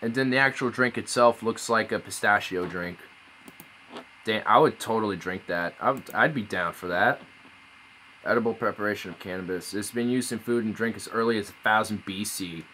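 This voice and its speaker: Bright, low male voice for a loose, profane phone stream, faint hiss behind. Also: moderate pace, rough recording. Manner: rambling, laid-back, swears often. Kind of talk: casual live-stream chat.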